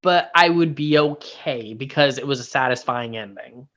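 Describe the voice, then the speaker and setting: A man's voice: medium pitch, normal speed, a bit bright. A man chatting in an informal, slangy way as a guest discussing a TV show. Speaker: guest co-reviewer. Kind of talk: casual chat, informal TV discussion.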